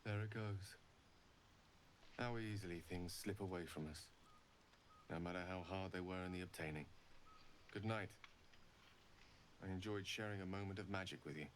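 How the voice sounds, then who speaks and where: A man playing a wistful, gentle, eloquent wizard in a fantasy video game. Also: deep voice, moderate pace, pauses often, slight background noise, close to an everyday voice. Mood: wistful, tender. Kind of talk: scripted fantasy game dialogue.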